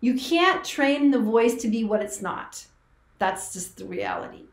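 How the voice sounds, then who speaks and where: A woman's voice: fairly high pitch, expressive, measured pace. A middle-aged woman, a voice teacher, reacting to an old clip in chatty commentary that restarts and corrects itself. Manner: chatty, self-correcting, emphatic. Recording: echoey room.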